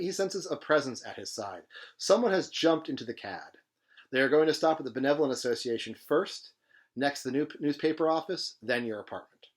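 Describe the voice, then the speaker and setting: A man reading literary fiction aloud in an expressive, dramatic manner with emphatic phrasing. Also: mid-range voice. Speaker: presenter reading a novel aloud.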